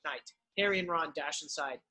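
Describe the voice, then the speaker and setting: A man's voice: a little high, moderate pace, sharp tone. A man narrating, reading the stage directions of a screenplay in an amateur read-through.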